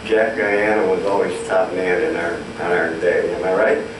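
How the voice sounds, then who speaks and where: A man's voice: deep voice, fairly even intonation, moderate pace. A man in the audience adding an eager memory about a local shopkeeper. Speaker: audience member. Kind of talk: casual recollection. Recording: echoey hall, distant mic, noisy.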